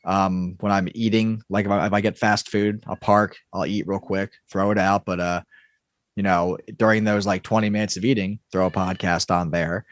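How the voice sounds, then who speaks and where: A man speaking as a podcast guest in an informal, halting casual chat. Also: low-pitched, little pitch movement, no room sound, clean studio sound. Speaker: podcast guest, audio drama creator.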